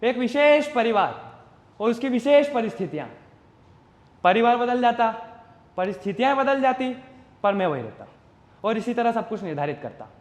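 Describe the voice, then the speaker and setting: A man performing a stage monologue as an uncertain, philosophical common man, speaking deliberately and reflectively straight to the audience. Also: extremely high pitch, very animated. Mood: musing, questioning. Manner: deliberate, reflective, rhetorical, addressing the audience directly. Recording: roomy echo with long reverb.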